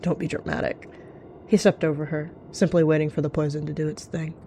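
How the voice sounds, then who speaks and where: Low-pitched woman narrating a roleplay. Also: level tone, fast talker, dark tone, a little hiss or hum. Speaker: roleplayer narrating and voicing cat characters. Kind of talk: improvised third-person roleplay narration.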